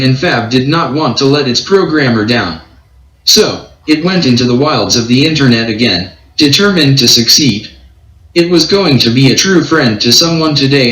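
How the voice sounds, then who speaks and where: A synthetic male text-to-speech voice reading a short story as scripted narration in an even, impersonal way. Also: a little high, reverberant, rough recording. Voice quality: synthetic read-aloud voice.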